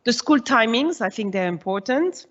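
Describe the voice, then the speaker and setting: A woman in her forties from Switzerland, the head of early years at a school, giving a presentation to parents. She explains things in a reassuring way and often pauses on "uh" and "um". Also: on the high side, animated, highs cut off.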